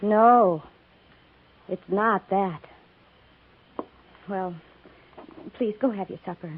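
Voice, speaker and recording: A gentle, gracious woman of the Old West with an American accent. Her delivery is polite and earnest, at a medium pitch. The sound is a narrow, faintly hissy 1950s radio drama recording. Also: very slow. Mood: worried, gracious.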